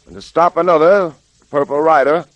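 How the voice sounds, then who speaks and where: An actor playing a principled, determined police detective in a measured, firm way, in 1930s radio drama style. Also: male voice, a little high, no echo, lo-fi sound, natural voice. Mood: firm, grave. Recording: vintage 1930s radio recording.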